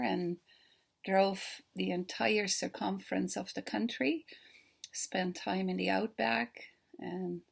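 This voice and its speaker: An older woman from the Netherlands with a Dutch accent, a children's book author giving an earnest, unhurried video talk to a festival. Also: medium pitch, gravelly. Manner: warm, earnest storytelling, slow and deliberate. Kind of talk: recorded video greeting to a festival.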